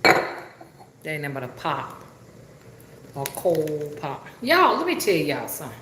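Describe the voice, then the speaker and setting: A woman's voice: sits low, huge pitch swings, measured pace, roomy sound, background noise. A middle-aged woman from Alabama with a Southern accent, a rootworker chatting with viewers in a folksy, country way on a live stream. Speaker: rootworker and spiritual reader. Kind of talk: casual live-stream chat.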